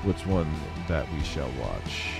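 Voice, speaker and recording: A man's voice: rumbling low, big pitch swings, slow pace, gravelly. A middle-aged man ranting casually and bluntly while playing a game. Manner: blunt, profane, rambling. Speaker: gaming commentary streamer. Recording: game music under voice. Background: game sounds and music.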